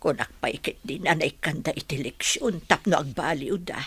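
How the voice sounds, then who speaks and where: A woman's voice: low voice, animated, moderate pace, fairly bright tone, slightly rough, heavily acted. A woman playing a concerned, motherly older woman in conversational radio drama.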